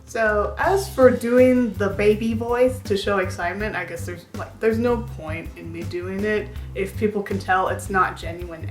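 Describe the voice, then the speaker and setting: A woman's voice: medium pitch, echoey room. A woman in her twenties chatting candidly to camera as a vlogger, rambling with lots of filler words. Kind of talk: casual chat to camera.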